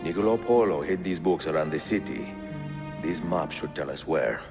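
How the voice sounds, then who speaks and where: An actor playing a confident middle-aged Italian adventurer, speaking in a measured, courteous way with an Italian accent in a game cutscene. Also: male voice, rumbling low, expressive, music in the background. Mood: purposeful. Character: suave, confident older Italian male adventurer, courteous and determined. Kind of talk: video game cutscene acting.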